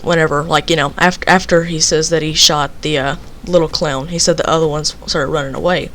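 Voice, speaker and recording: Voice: medium pitch, steady tone, brisk, fairly bright tone, slight background noise. A young person telling a casual, rambling story, leaning on filler phrases. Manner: rambling, repeats filler phrases like "basically" and "you know".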